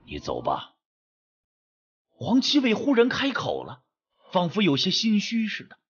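A man's voice: high-pitched, huge pitch swings, normal speed, husky, lo-fi sound. A man narrating a suspense audiobook in a dramatic, scripted style.

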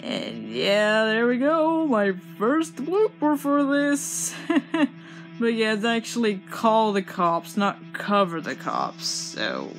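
A woman's voice: on the high side, big pitch swings, slow pace, soft background music. A woman who does amateur voice acting, chatting casually and hesitantly between takes, correcting herself. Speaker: amateur voice actor.

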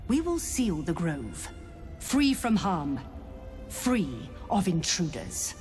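Ruthless female elf druid leader, fantasy game, imperious, measured pace, very expressive pitch. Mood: cold, defiant. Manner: imperious, commanding, deliberate. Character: stern, ruthless elf druid woman leading a grove. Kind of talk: dramatic fantasy game acting. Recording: long reverb with music behind.

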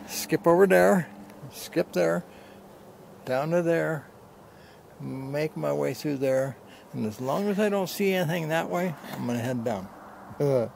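An older man in his seventies talks casually as he hikes, with plenty of gravel in a mid-pitched voice. He is folksy and pokes fun at himself. It is recorded outdoors on a handheld camera, with faint background noise. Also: darkish tone. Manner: folksy, self-deprecating. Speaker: hiking vlogger. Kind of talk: casual narration while hiking. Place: outdoors on a rocky desert mesa slope. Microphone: handheld camera at arm's length.

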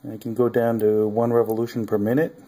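A man's voice: on the low side, level tone, mellow. Hesitant man demoing a gadget. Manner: halting, many ums and uhs. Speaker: hobbyist maker. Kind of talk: casual project demo. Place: home workbench indoors.

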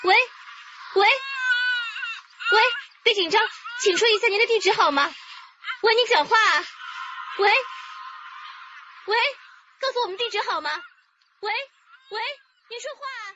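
An actress playing an earnest, concerned police emergency call operator with a formal, insistent manner in a radio drama. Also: female voice, very high-pitched, normal speed, long pauses, dull audio, noisy background. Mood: urgent, concerned.

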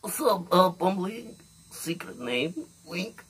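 A man's voice: sits high, sing-song, takes it slowly, fairly bright tone, breathy edge, full character voice. A young man playing an eccentric, pleading prank caller in a comedy show, theatrical and prone to sudden swings. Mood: secretive, insistent. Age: young adult. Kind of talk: prank phone call comedy. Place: small cluttered room.

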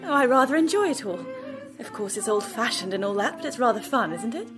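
An actress playing a headstrong young woman, eager and slightly breathy. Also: fairly high pitch, very animated, brisk, music in the background. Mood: earnest.

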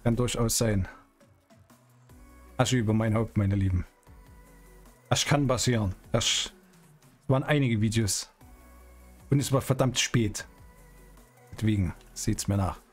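A man's voice: on the low side, a little slow, long pauses, soft background music. A middle-aged man giving casual, playful gameplay commentary and chatting in character. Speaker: streamer hosting a GTA roleplay series. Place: small indoor streaming room.

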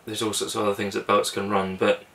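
A man's voice: on the low side, quick pace, recorded from a distance. A young man, casually explaining boat engine checks step by step in a small cabin. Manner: relaxed, practical, talks through steps with fillers. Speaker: sailing vlogger.

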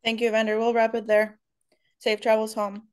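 A woman runs a press conference, handing the floor to reporters in a brisk, polite, businesslike way. Her voice is fairly high and smooth, with a clean, resonant tone. She keeps an even, level delivery throughout.

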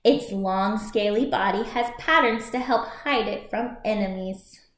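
A woman in her thirties reading a picture book aloud for young children as a storytime host, expressive and clearly paced. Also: mid-range voice, unhurried. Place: small room at home, indoors by a shuttered window. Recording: echoey home room.

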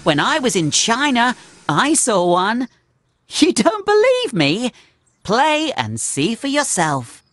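A woman's voice: on the high side, huge pitch swings, slow pace, a bit bright, slight background noise, heavily acted. A woman voicing a cheerful, curious young male talking steam engine, telling stories earnestly and expressively to children in a cartoon game. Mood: playful, inviting.

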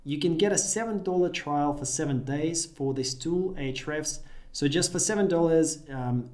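A man's voice: fairly high pitch, moderate pace, a little mellow. A man in his thirties from Russia, a Russian native speaker, giving an upbeat screen-share tutorial in a small room. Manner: upbeat, direct instructional talk. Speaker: affiliate marketing tutor.

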